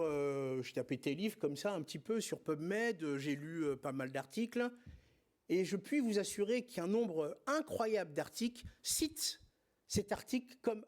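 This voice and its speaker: Man presenting at a medical congress. High-pitched, emphatic teacher, hesitant in places. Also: darkish tone. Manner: didactic, emphatic, frequent hesitations and filler words. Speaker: spine surgeon presenting at a congress. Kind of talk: conference lecture.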